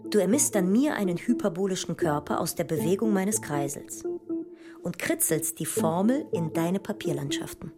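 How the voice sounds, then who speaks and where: Woman acting a pensive mathematician over loud music. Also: lowish voice, expressive, moderate pace, close to an everyday voice. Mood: questioning, wary. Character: pensive, questioning woman mathematician facing a daring experiment. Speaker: performer in an experimental audio drama. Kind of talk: poetic theatrical dialogue with musical repetition.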